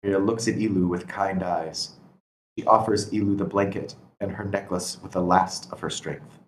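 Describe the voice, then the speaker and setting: A man's voice: sits low, fairly even intonation, moderate pace, poor audio. A man reading scripted stage directions as narrator at a screenplay table read. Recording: constant background noise.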